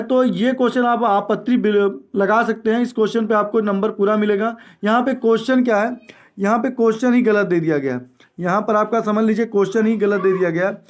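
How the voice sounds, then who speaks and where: A man teaching an exam coaching lesson, explaining step by step, repeating key statements and mixing in English terms. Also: sits high. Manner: mixes English terms into speech. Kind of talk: explanatory lecture going through exam questions.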